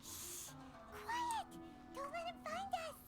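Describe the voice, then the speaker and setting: A woman voicing a small, childlike, excitable fairy companion in an anime video game, squeaky and exclamatory. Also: slow, over loud music, full character voice. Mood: urgent. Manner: exclamatory, urgent.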